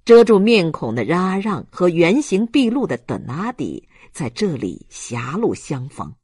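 Male audiobook narrator, slow deliberate pacing, lively pitch swings, dramatic scripted reading. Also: breathy edge, dry recording, clean studio sound.

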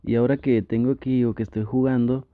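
A man with a Mexican accent playing a flustered, easily tempted gamer boyfriend in an intimate ASMR roleplay, talking in a halting, coaxing way. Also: medium pitch, flat delivery, no room sound, phone-quality sound, natural voice. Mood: flustered, pleading.

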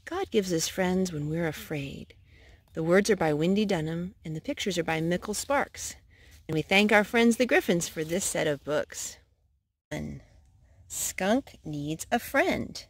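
A woman hosting storytime, reading aloud to young children in simple, measured phrases. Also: fairly low pitch, lively intonation, husky, dry recording. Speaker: storytime host and narrator.

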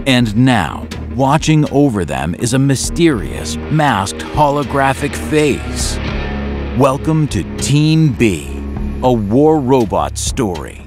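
A man narrating a scripted audio drama recap in a grave, dramatic style. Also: medium pitch. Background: loud plucked guitar music.

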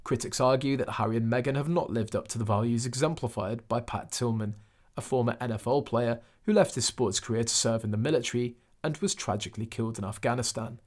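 A man reads a scripted news piece. His delivery stays even and uninflected throughout, and his pitch sits in a plain middle register. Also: quick pace. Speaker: narrator.

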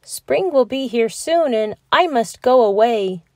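A woman voicing a kind, cheerful little snowman in a storybook, speaking gently and reassuringly. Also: a little high, animated, measured pace, no room sound, studio quality, voice pushed up. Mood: gentle.

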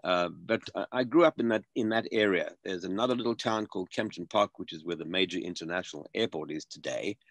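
A man in his seventies from South Africa, a guest on a video call interview, speaking earnestly and halting over repeated starts. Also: low voice, fairly bright tone, dry recording. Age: 70s. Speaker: guest filmmaker. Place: home room.

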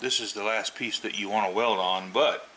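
A middle-aged man giving a casual hands-on tutorial, explaining haltingly and thinking aloud as he works indoors at a table. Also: fairly low pitch, rough recording. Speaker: host of a tool repair video channel. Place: indoors at a wooden table at home. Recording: steady background noise, home video.

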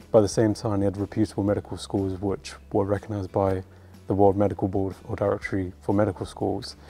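A low-voiced man with a London accent speaks in a flat, steady line, his tone dark and mellow. He answers interview questions in careful, formal sentences. Soft music plays faintly underneath. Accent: London English. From United Kingdom. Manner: measured, articulate, formal wording. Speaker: medical student. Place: university lecture hall with red seats.

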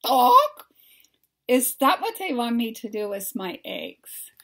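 A woman's voice: fairly high pitch, huge pitch swings, slow, a bit bright, heavily acted. A woman voicing a puzzled, stubborn hen from a children's storybook, exclaiming and asking in earnest.

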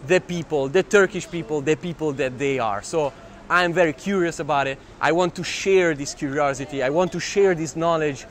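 A man in his twenties with an Italian accent, talking to camera as a travel filmmaker in an animated, exclamatory way, often repeating phrases. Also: a little high, normal speed. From Italian family, raised near Milan. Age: 20s. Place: outdoors in city squares and streets. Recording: clear urban street noise.

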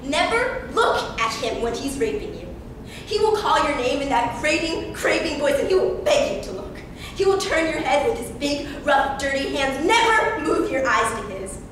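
A woman's voice: lively intonation, moderate pace, natural voice. A young woman performing a stage monologue as a defiant, wounded fifteen-year-old Congolese girl who survived captivity, addressing listeners directly and emphatically. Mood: stern, intense. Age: young adult. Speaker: student actor performing a monologue. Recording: echoey hall from far off, noisy rumble.

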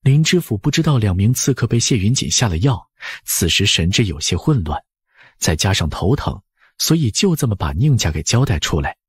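A man's voice: fairly low pitch, big pitch swings, moderate pace, slightly rough, no room sound, studio quality. A man narrating a novel as an audiobook, scripted and dramatic.

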